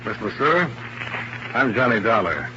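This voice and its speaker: An American actor playing a wry, hard-boiled insurance investigator in a 1950s radio detective drama, with a dry, laconic delivery. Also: male voice, mid-range voice, sing-song, a little slow. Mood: dry, businesslike. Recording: muffled, noisy old radio recording.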